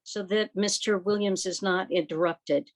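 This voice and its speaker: A woman's voice: medium pitch, steady tone, normal speed, no room sound. A woman moderating an informal online meeting over a video call.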